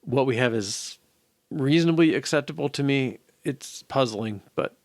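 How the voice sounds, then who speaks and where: A man's voice: medium pitch, moderate pace, a little mellow, no room sound, clean studio sound. An older man giving casual think-aloud commentary as he codes, musing and muttering to himself. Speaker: programmer hosting a live coding session. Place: indoor room at home.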